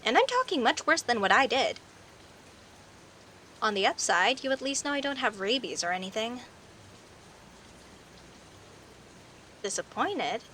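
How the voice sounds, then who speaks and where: A woman's voice: on the high side, bright, forceful tone, full character voice. A woman playing a friendly, teasing werecat neighbor in an audio roleplay, talking conversationally and straight to the listener. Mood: apologetic. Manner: teasing, indignant, conversational with sighs and pauses. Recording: faint hiss and rain noise. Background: rain ambience.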